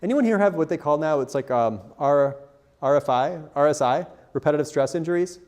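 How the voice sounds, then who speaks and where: A man's voice: a little high, lively intonation, brisk, a little mellow. A man speaking as a conference presenter in an informal, candid, self-deprecating style, putting questions to his audience. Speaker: conference speaker, content marketer.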